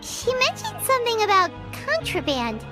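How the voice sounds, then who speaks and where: A woman voicing a tiny, childlike, greedy and excitable floating companion in a video game. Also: very high voice, moderate pace, heavily acted. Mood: excited, greedy. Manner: refers to self in third person, exclamatory. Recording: game music playing over the voice.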